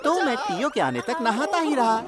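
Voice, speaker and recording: An actor voicing an eager, clumsy young male train in an expressive, earnest way for a children's cartoon dub. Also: mid-range voice, normal speed, over loud music. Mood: animated.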